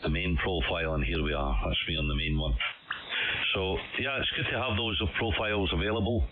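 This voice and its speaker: A man's voice: deep voice, a little fast. A man talks in a friendly, chatty way. He is an amateur radio operator making casual contact. The sound is thin and phone-like, carried over a radio transmission with a faint hiss. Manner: amiable, chatty, uses "mate". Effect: shortwave single-sideband radio transmission. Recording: band-limited ham radio signal, faint hiss.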